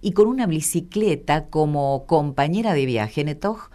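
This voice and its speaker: Low-voiced Argentine woman radio host. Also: expressive, a little slow, dark tone. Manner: chatty, with frequent fillers. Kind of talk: casual radio chat.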